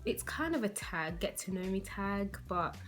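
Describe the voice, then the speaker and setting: A woman's voice: medium pitch, animated, measured pace. A woman in her twenties from London, with a London accent, chatting casually to her viewers in a rambling way, full of "like" and "you know". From London, England. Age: 20s. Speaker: beauty vlogger.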